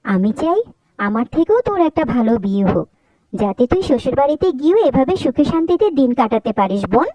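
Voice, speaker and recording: A woman's voice: high voice, very animated, a little fast, dark tone, dry recording, poor audio. A woman voicing a kind-hearted, dutiful young sister in a cartoon, speaking earnestly and frankly. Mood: earnest, caring. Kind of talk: Bengali cartoon voice acting.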